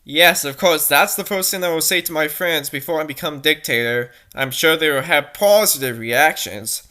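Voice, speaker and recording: A woman's voice: low-pitched, normal speed, pushed and bright. A woman doing a comedic read-aloud of fanfiction, with mocking, sarcastic asides and a tendency to giggle. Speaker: fanfiction reader and commentator.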